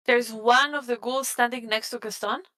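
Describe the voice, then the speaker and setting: Woman gamer, smooth clear voice kinda high, asking about rules, casual. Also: fairly even intonation, moderate pace, sharp tone. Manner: questioning, checking the rules. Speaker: player in tabletop game. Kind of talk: casual game talk.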